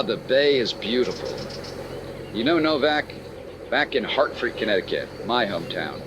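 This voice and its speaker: A man acting a courteous insurance investigator with a very animated, swinging delivery. He is businesslike with a wry, teasing edge, in the manner of an old radio detective serial. Clear noise sits behind the voice. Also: medium pitch, lightly acted.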